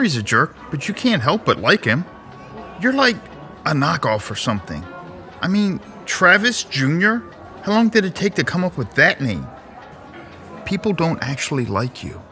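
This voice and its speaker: Man playing a sneering, sharp-voiced tormentor. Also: a little high, big pitch swings, moderate pace, faint music behind. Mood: scornful. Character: mocking, scornful male tormentor babysitting a spoiled young man. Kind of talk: audio drama acting.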